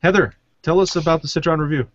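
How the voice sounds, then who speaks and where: A man's voice: on the high side, fast talker, no echo. A man hosting a casual podcast chat in a jokey, rambling way, often losing his thread. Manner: rambling, jokey, loses his train of thought. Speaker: podcast host. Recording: dull, muffled lo-fi sound.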